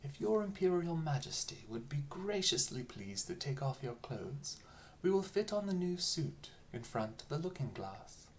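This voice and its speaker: A reader voicing a sly, flattering rogue weaver in a storybook tale, presenting in an obsequious, showy way. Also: mid-range voice, moderate pace, slight background noise. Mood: fawning.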